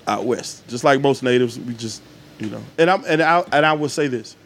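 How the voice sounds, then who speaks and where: A man's voice: mid-range voice, brisk. A middle-aged man, a podcast guest telling loose, joking, profane stories in casual chat. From Atlanta, Georgia. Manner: laid-back, joking, profane storytelling.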